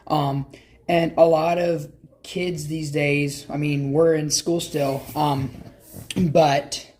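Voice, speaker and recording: A man's voice: a little high, flat delivery, slow pace, a little mellow, slight background noise. A man hosting a podcast interview, talking conversationally and repeating phrases for emphasis as he makes points and asks questions.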